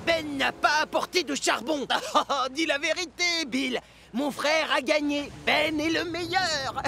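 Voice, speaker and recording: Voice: sits high, takes it slowly, creaky, pushed and bright, slight background noise, full character voice. An actor voicing mischievous, boyish twin tank engines in a French cartoon dub, teasing and boastful. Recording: music behind the voice.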